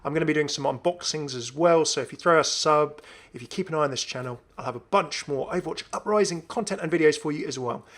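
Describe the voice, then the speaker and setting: A man's voice: fairly high pitch, fast talker, hardly any pauses, a bit bright. A man giving casual, off-the-cuff video game commentary as a host, chatty and often musing aloud. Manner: chatty, musing aloud, asks himself questions.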